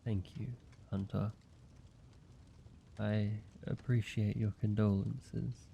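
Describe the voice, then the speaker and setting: A man playing a lonely, courteous, softly wry vampire in a cozy audio roleplay, speaking in a measured, intimate way with pauses. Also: lowish voice, level tone, creaky, dull audio, a little hiss or hum. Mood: tender, vulnerable. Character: lonely, gentle, teasing male vampire, centuries-weary and courteous. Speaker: amateur voice actor. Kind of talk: cozy scripted audio roleplay, addressed to one listener.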